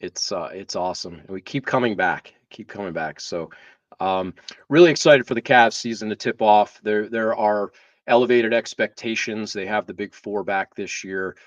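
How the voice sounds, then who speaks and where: A man hosting a live talk show, speaking in a hesitant way with drawn-out pauses. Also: lowish voice. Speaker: show host. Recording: dull, roomy, distant microphone.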